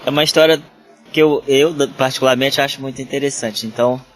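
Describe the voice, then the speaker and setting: Northeastern Brazilian man, trail-running guest, middle pitch, meandering casual storytelling full of restarts. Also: steady tone, fast. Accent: northeastern Brazilian Portuguese. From Ceará, Brazil. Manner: rambling, with many restarts and fillers. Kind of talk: casual interview talk.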